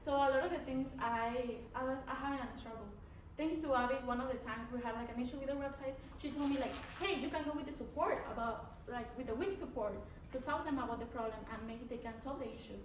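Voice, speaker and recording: High-voiced young woman, Mexican accent. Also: fast, slight background noise. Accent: Mexican Spanish. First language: Spanish. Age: young adult. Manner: hesitant, many fillers and restarts. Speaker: student officer candidate. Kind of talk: candidate answering panel questions. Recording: echoey room, from far off.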